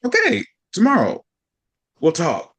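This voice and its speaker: A man plays a smitten young guy in a sitcom table read. He is sheepish and halting, talking slowly, with a voice that swings widely in pitch. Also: wildly swooping pitch, a bit bright, breathy edge, full character voice. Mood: smitten, hesitant. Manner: hesitant, halting delivery.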